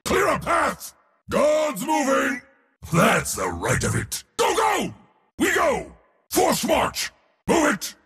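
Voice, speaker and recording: An actor voicing a proud, pompous god of war for a video game, with a theatrical, commanding military delivery. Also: male voice, very high-pitched, huge pitch swings, slow pace, fairly bright tone, shouting, long reverb tail, exaggerated voice. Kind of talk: video game hero voice lines.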